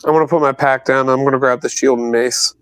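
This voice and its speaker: A man's voice: mid-range voice, fairly even intonation, fast talker, a bit bright. A man playing a tabletop game, casually describing his moves and working out his rolls aloud. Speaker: player.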